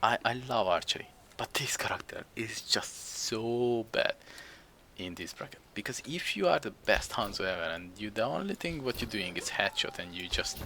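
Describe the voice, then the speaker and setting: Low gravelly Hungarian-accented man. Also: animated, moderate pace, sharp tone, slight background noise. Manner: rambling, chuckling, self-deprecating. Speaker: game streamer. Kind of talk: casual gameplay commentary.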